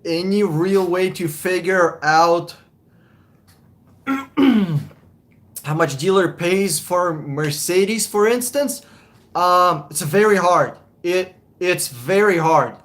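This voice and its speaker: A man's voice: sits high, expressive, extremely slow, a bit bright. Blunt man on a live stream. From Russia. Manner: casual, blunt, swears, chuckles often. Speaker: car buying advisor, livestream host.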